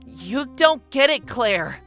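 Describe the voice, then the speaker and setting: A woman's voice: on the high side, sing-song, slow pace, music in the background, heavily acted. A woman acting a troubled, evasive young character in a game roleplay drama, hesitant and defensive in delivery. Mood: angry defensive. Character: guarded, troubled, evasive youth keeping secrets.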